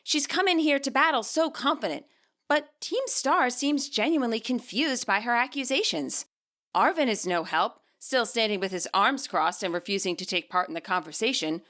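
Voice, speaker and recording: A woman narrates a scripted story of her own. Her voice is bright and forceful, on the high side, and moves expressively up and down as she reads. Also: expressive, normal speed. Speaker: author and narrator.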